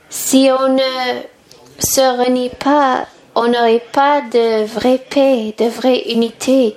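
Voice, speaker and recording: A woman's voice: a little high, darkish tone, a little hiss or hum. A woman interpreting a sermon, delivering the preaching phrase by phrase.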